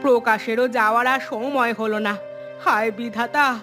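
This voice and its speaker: A woman's voice: a little high, fairly even intonation, a little slow, bright, forceful tone, faint music behind. A woman voicing an anxious, fretful elderly mother-in-law in a cartoon, with plaintive, dramatic delivery. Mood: worried. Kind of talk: Bengali moral-tale cartoon dubbing.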